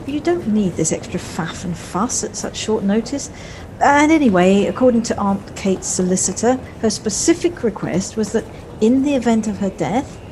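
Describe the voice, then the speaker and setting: A woman's voice: mid-range voice, a little mellow, lightly acted. A woman acting a flustered, wistful middle-aged woman in an audio play, with hesitant, reflective speech that repeats words. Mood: flustered, reassuring. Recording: noisy home recording on personal device.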